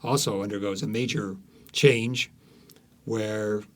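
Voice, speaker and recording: A man's voice: fairly low pitch, steady tone, slow. A man speaking as an author being interviewed, telling stories conversationally with frequent false starts and repeated words.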